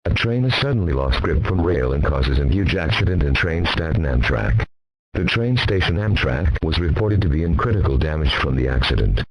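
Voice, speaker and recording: A man's voice: very deep voice, sing-song, normal speed, breathy edge, no room sound, exaggerated voice, poor audio. A man voicing an impersonal emergency alert announcer, reading a formal scripted warning. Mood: impersonal, urgent warning.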